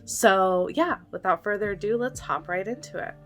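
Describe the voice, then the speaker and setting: A young woman hosting a ghost reaction vlog, chatty and excitable, a bit nasal from a cold. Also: medium pitch, normal speed, loud music behind the voice. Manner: bubbly, excitable, frequent "like" and gasps. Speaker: ghost video reaction host.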